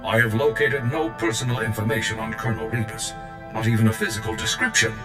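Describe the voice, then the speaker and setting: A man voicing a proud, deep-voiced combat droid. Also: steady tone, quick pace, natural voice. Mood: earnest, inquisitive. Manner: formal, precise, earnest. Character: proud, zealous, loyal military combat droid. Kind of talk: scripted video game acting. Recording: game cutscene with echo and music.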